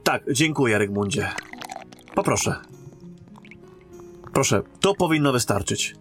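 A man acting a courteous royal emissary and captain in a fantasy audio drama, calm and authoritative. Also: medium pitch, unhurried, soft background music.

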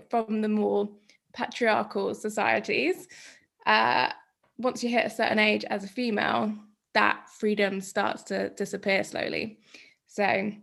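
A woman's voice: a little high, gravelly, a bit bright. A woman in her thirties, raised in Greece, chatting as a podcast guest, talking freely with fillers and laughs. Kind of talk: casual interview chat.

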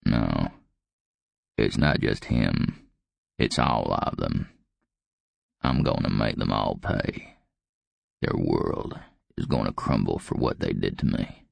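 Croaky, very deep old villain. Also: male voice, expressive, slow, pauses often, no echo, full character voice. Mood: grim, vengeful. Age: older. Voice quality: dry, croaky, growling. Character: vengeful, withered old male villain, bitter and humourless. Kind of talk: audiobook character acting.

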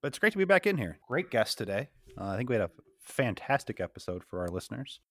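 A man co-hosting a business podcast, chatting in a relaxed way with some fillers. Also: mid-range voice, fast, dry recording. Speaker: podcast co-host. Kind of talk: casual podcast chat.

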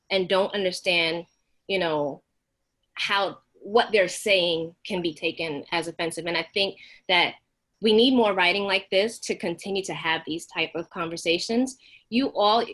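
A middle-aged woman with an American accent speaks in a casual, conversational way. Her pitch sits in the middle range as she offers feedback to a group. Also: a bit bright. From United States. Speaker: audience member giving feedback. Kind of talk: casual spoken feedback at an online play reading.

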